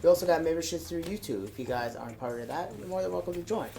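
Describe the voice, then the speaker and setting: A man's voice: fairly high pitch, animated, rapid-fire, gravelly, a little mellow, a little hiss or hum. A man co-hosting a video, speaking casually to the viewers. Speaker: reaction show co-host.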